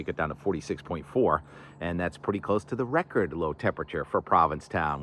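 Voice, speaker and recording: A man with a loud voice, chatting casually about the weather outdoors, rambling with lots of fillers, recorded on a camera mic with no lavalier. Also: low voice. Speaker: weather vlogger. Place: outdoors by the water in the morning.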